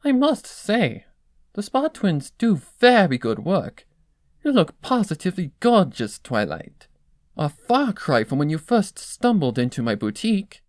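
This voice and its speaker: Storybook lady pony dressmaker, played by a woman: high-pitched, very animated, refined, gushing and theatrical. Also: unhurried, no echo, clean studio sound. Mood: pleased admiration. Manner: theatrical, genteel, affectionate.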